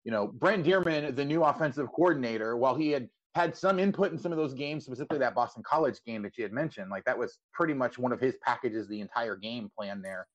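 Fast-talking man hosting a sports podcast. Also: medium pitch, lo-fi sound. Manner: wordy, stammering lead-ins to questions. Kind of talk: casual sports interview.

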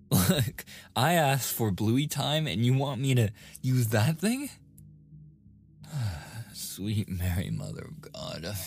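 A man's voice: on the low side, darkish tone. A man playing a playful, easily flustered young gamer boyfriend in a casual, intimate ASMR roleplay. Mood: flustered, reluctant. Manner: casual, intimate, with chuckles and sighs.

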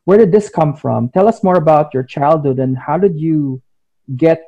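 A man's voice: fairly high pitch, moderate pace, mellow. A man co-hosting a podcast who asks rambling interview questions full of "you know". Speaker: podcast co-host. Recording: muffled lo-fi audio.

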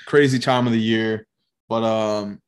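A man with a nasal voice co-hosts a podcast in a loose, rambling way, swearing and laughing as he goes. His voice sits slightly low in pitch. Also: fairly even intonation, measured pace, clean tone. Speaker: podcast co-host. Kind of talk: casual podcast chat.

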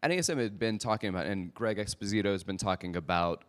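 Man, low-pitched voice, podcast host, live event presenting, informal, joking manner. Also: quick pace, smooth. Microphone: microphone.

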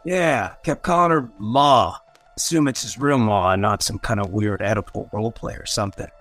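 An actor playing a rough, swaggering ghoul mayor of a seedy town, slangy and irreverent, in a radio drama. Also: male voice, on the low side, moderate pace, a bit bright, faint music behind. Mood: gruff, irritated. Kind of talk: old-time radio drama acting.

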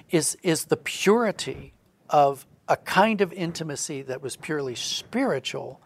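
A man speaks as an interview guest in a somewhat high-pitched voice with a strong gravelly fry. He talks in a reflective, earnest way, explaining his thoughts conversationally. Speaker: guest, audio drama writer.

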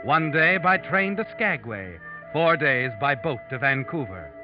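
An actor playing a knowing, taunting storyteller, narrating an old radio drama ominously in the second person. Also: male voice, on the high side, very animated, unhurried. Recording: dull vintage radio recording, music behind. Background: dramatic music.

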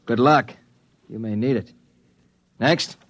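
A man playing a brisk, indifferent spaceport clerk with a curt, officious manner in a radio drama. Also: medium pitch, fairly bright tone, dry recording. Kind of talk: 1950s radio drama acting.

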